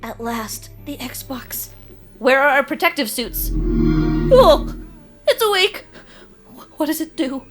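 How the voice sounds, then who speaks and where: Young alien in kid disguise, female actor: high voice, grandly formal report delivery, earnest audio drama. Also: very animated, takes it slowly, pauses often, fairly bright tone, soft background music, full character voice. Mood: awed. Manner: stiff, formal, over-dramatic report-like speech.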